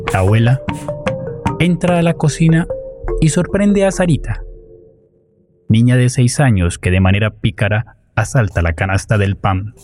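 A man's voice: mid-range voice, animated, moderate pace, pauses often, a little mellow, soft background music. A man with a Colombian accent reading scripted narration for a radio play.

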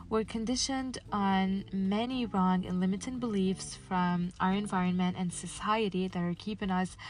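Female podcast host, early 20s. Earnest, encouraging motivational read, mid-pitched, over background music. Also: a little mellow. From Casablanca, Morocco. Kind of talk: scripted motivational podcast narration.

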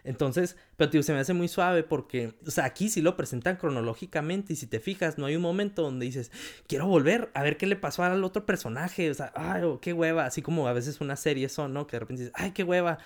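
A man with a Mexican accent in a casual film chat, animated, often quoting people in a raised voice. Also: on the high side, brisk. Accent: Mexican Spanish.

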